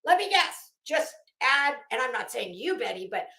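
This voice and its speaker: A woman's voice: sits high, big pitch swings, normal speed, creaky, bright, forceful tone. A middle-aged woman delivering a mocking, theatrical monologue, rambling and sarcastic. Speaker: host. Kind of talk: mocking dramatic commentary monologue. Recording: echoey room.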